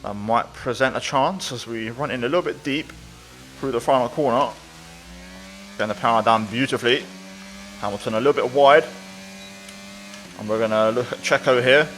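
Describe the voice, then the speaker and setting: A man's voice: mid-range voice, moderate pace, pauses often, a bit bright, soft background music. Man commentating casually over his video game. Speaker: gaming video creator.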